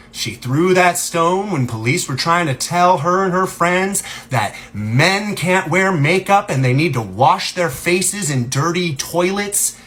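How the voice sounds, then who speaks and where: A man's voice: on the high side, pushed and bright, husky. Sassy, emphatic man talking to camera. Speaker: genderqueer content creator. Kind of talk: casual talk to camera.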